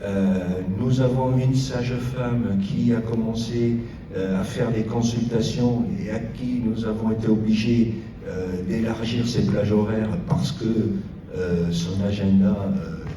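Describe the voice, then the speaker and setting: A man giving an informal public progress report as a local official, speaking haltingly with long hesitant pauses. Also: lowish voice, steady tone. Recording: roomy echo, distant mic, noisy.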